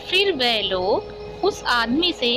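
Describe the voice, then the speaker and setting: A woman's voice: on the high side, sing-song, takes it slowly, over music. A woman narrating a scripted story.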